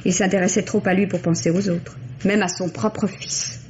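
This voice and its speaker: An actress playing a composed, reserved young secretary who answers with cool candour in a French radio mystery play. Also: female voice, mid-range voice, fairly even intonation, normal speed, darkish tone, natural voice. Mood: guarded. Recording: muffled, noisy old radio recording.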